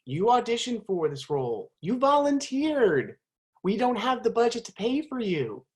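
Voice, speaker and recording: A woman's voice: on the high side, very animated, moderate pace. A woman playing a flustered, stammering stage manager who scolds the narrator in a community stage play. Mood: exasperated. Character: flustered female stage manager trying to keep the show going.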